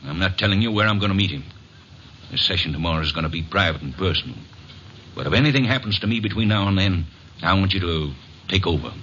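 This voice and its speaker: An old, slightly hissy radio drama recording carries a big, tough avenger. The voice is very deep and hard-edged, with blunt, colloquial menace. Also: male voice, expressive, quick pace, fairly bright tone, highs cut off. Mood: menacing. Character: big, tough, vengeful bearded man. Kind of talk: 1940s-50s radio acting.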